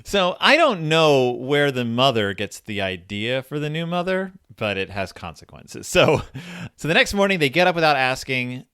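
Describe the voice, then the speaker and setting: A man with a lively, widely swinging voice hosts a podcast in a dry, close-sounding recording. He casually retells a fairy tale, slipping into quoted dialogue and putting leading questions to his listener as a conversational storyteller does. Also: medium pitch, moderate pace, hardly any pauses, clean tone, no room sound. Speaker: podcast host.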